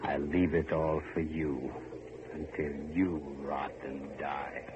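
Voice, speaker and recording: An actor playing a bitter, menacing old servant full of hatred in an old-time radio horror drama. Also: male voice, extremely low pitch, fairly even intonation. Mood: bitter taunting. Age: older. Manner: menacing, taunting, drawn-out emphasis. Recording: muffled, noisy vintage radio recording.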